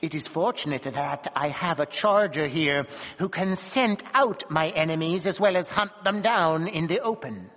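A man's voice: fairly high pitch, fairly bright tone, in a large hall. A man doing a grim, vengeful dwarf. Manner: grim, slow and measured. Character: tiny cold vengeful male circus dwarf.

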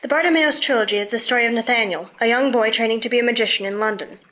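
Teen female reviewer, bright and rapid, scripted read. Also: on the high side. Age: teens.

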